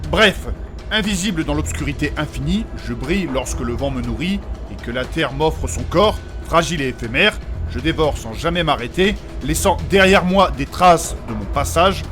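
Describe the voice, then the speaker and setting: A man acts as a courteous, fussy and learned adventurer in a comic fantasy audio drama. His voice is high for a man, and his diction is formal, precious and old-fashioned. Faint music runs underneath. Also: lively intonation, moderate pace, close to an everyday voice. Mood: solemn.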